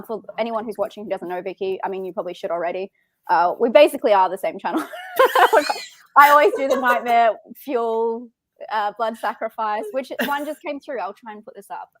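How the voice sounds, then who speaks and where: Australian woman, high voice, close dry sound, giggly, excitable, rambling, casual livestream host. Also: moderate pace, no room sound. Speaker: host of a film talk show.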